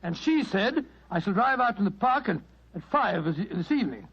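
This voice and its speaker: Eager, high-voiced gentleman. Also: very animated, moderate pace, slightly rough, exaggerated voice. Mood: recounting. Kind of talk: 1940s radio drama acting. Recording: dull vintage radio recording.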